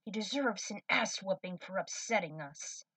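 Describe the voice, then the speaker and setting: A woman's voice: mid-range voice, animated, normal speed, sharp tone, dry recording, far from the mic, rough recording. A woman voicing a skeptical, stern fatherly man in a fan fiction story reading. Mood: grudging.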